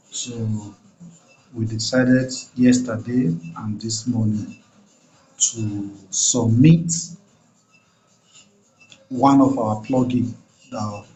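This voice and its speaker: A man casually announcing his company's software plugins, explaining in loose, unhurried phrasing. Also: medium pitch, poor audio.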